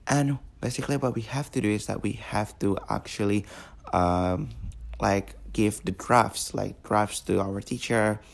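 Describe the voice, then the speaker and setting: Teen male podcaster from Indonesia, gravelly voice, loose rambling delivery. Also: fairly low pitch, fairly even intonation, a little slow. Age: teens. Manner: rambling, many fillers and repeated words. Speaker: podcast host. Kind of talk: casual solo podcast.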